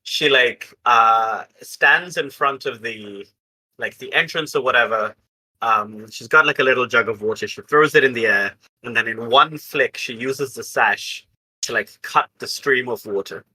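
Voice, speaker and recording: Slow-paced man with very bright voice. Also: medium pitch, slightly rough, rough recording. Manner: halting, piecing ideas together aloud. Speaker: tabletop game player. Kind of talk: casual improvised storytelling.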